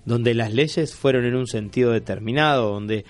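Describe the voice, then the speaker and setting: A man's voice: medium pitch, moderate pace. A man from Argentina with an Argentine accent hosting a casual radio chat, repeating phrases for emphasis. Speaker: radio magazine host.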